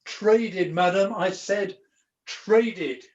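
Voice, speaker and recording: A woman's voice: medium pitch, extremely slow, darkish tone. A woman playing a hapless, earnest young jester in a pantomime, theatrical and sheepish, on a video call. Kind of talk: pantomime acting. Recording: dull lo-fi video-call recording.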